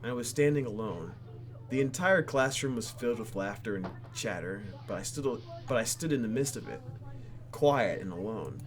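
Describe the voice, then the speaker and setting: A man's voice: mid-range voice, animated, moderate pace. A man reading a video game's story aloud in a playful, theatrical way, breaking off for asides as he hosts. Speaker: let's play host reading a game aloud. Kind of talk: expressive reading of game narration with asides.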